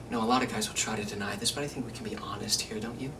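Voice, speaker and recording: A man playing a menacing, controlling killer in a theatrical monologue, cold and volatile, with a deliberate, intimate, chilling delivery. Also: lowish voice, steady tone, natural voice. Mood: hushed, chilling. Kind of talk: theatrical monologue, self-taped audition. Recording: distant mic, faint room noise.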